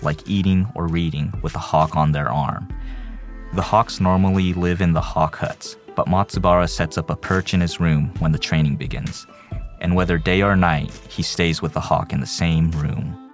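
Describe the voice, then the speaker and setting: A man reading scripted documentary narration as a storyteller, in a measured manner. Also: deep voice. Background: soft background music.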